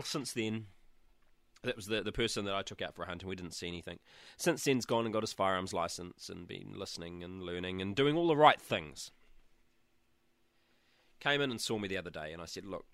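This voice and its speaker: Cheeky New Zealand radio host, sore throat. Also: male voice, on the low side, sharp tone, no room sound, studio quality. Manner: chatty, cheeky, jokey. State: sore throat, blocked nose. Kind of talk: casual solo chat. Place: small studio room at back of a shed.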